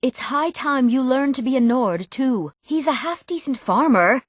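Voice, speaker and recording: A young woman voicing an eager village woman in a fantasy video game, speaking earnestly and clearly in scripted lines. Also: high voice, a little slow, no echo, clean studio sound. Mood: firm. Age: young adult.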